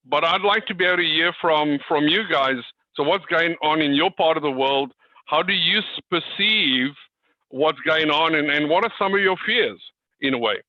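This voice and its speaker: A man's voice: a little high, normal speed, no echo. A man speaking informally to a group as a coach on a video call, often repeating his words and circling back on phrases. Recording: dull, lo-fi video call audio.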